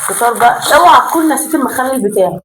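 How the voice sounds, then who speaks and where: A hoarse Egyptian woman talks fast and fairly high, her worn-out voice running on as she speaks straight to her viewers from home. A faint hiss sits behind her casual family vlog chatter. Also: animated, a bit bright, low-quality recording. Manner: chatty, addressing viewers directly. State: hoarse, voice going. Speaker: vlogger. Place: home kitchen and sitting area.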